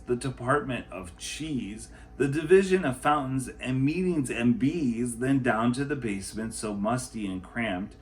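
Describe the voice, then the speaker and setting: A man, a teacher, reading a children's picture book aloud in an expressive storytelling style. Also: medium pitch.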